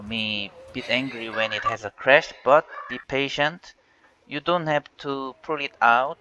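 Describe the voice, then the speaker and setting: A Korean-native man speaking English in a halting way, with many fillers and restarts, walking viewers through a tech issue. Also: mid-range voice, sharp tone. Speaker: tech channel host. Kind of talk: casual screen-recorded walkthrough. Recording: dull lo-fi voice with faint hiss.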